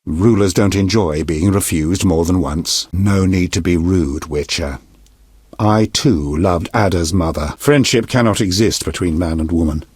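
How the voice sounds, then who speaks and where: An actor playing a stern, shrewd middle-aged king in a video game, speaking formally and deliberately with a husky, commanding voice. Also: deep voice, a little mellow, no room sound, studio quality. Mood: stern, grave. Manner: formal, measured, imperious, deliberate diction. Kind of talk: scripted video game dialogue.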